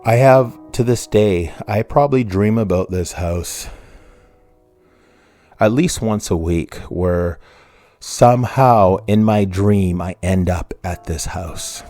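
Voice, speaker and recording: Casting note: man in his fifties, very low voice, podcast host, loose and rambling as he tells a personal story, faint music underneath. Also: takes it slowly, dark tone. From Canada. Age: 50s. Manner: rambling, with restarts and self-corrections. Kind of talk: personal true crime storytelling.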